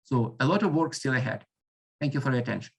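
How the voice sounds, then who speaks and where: A man giving an academic lecture over a video call, speaking English as a second language with Ukrainian as his first, halting often with fillers and restarts. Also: mid-range voice, expressive, creaky, slightly rough. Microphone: computer mic on a video call.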